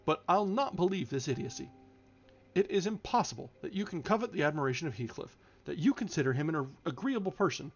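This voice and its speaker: A man voicing an imperious, sharp-tongued married woman from a novel, speaking in haughty, emphatic declamation. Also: fairly high pitch, big pitch swings, a little fast, breathy edge, muffled recording, full character voice. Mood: scornful disbelief.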